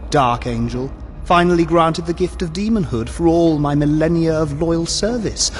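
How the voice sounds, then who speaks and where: A man's voice: fairly high pitch, slight background noise. A man playing a sinister demon in a dead soldier's body, mocking and wordy, in an audio drama. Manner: mocking, verbose, savouring slow threats. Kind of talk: audio drama villain acting.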